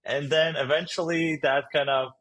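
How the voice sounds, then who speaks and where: A man's voice: a little high, steady tone, normal speed, fairly bright tone, a little hiss or hum. A man from Latvia with a Latvian accent, a startup founder answering interview questions hesitantly with many fillers and easy laughs.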